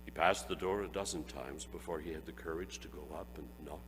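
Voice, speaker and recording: A man's voice: rumbling low, brisk, a bit bright, big-room sound, a little hiss or hum. A man giving a dramatic storybook reading, relishing each phrase. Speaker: reader.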